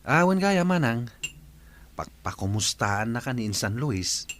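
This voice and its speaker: A man acting a lively, exclamatory village husband in a comedy radio drama. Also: mid-range voice, unhurried, a little hiss or hum. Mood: teasing, chatty. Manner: exclamatory, animated. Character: lively joking village husband, eager to please guests.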